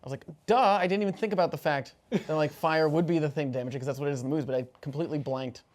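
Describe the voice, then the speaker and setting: A man talks very quickly in an informal, slangy way. He is a newcomer to tabletop gaming, chatting casually with fellow players after the session. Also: on the high side, a little mellow. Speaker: first-time tabletop game player. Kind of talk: casual post-game chat.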